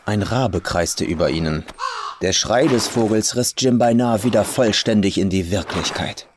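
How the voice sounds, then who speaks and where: A man's voice: fairly low pitch, moderate pace, background noise. A man reading a scripted horror story as a dramatic audiobook narrator. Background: faint atmospheric music.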